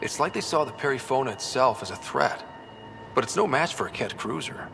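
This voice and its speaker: A man playing a steady, determined young human explorer leader with dry humor in video game cutscene dialogue. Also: medium pitch, fairly quick, creaky, slight background noise. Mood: grim.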